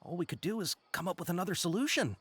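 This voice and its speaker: A man's voice: sits high, big pitch swings, fast, fairly bright tone, slight background noise. A man playing an anxious, deferential caravan merchant in a video game, hesitant, apologetic and eager to please. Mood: nervous, shaken. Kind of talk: video game character acting.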